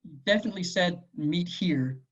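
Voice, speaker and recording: A man's voice: high-pitched, moderate pace, husky. A man playing a weary, scowling hitman in his forties at an amateur table read, terse, wary and testy. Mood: puzzled, subdued. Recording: dull lo-fi voice recording.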